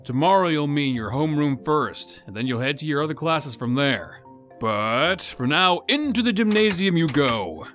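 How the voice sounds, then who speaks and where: Higher-pitched, cheerful teacher. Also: male voice, lively intonation, moderate pace, fairly bright tone, faint music behind. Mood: cheerful, instructing. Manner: announcing, encouraging. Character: cheerful, orderly high school teacher, adult man. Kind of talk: animated roleplay voice acting.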